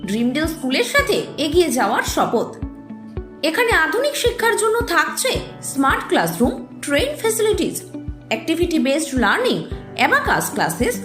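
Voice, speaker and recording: A woman's voice: very high-pitched, wildly swooping pitch, a little fast, echoey room. A woman reading a scripted promotional voice-over for an advert. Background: music.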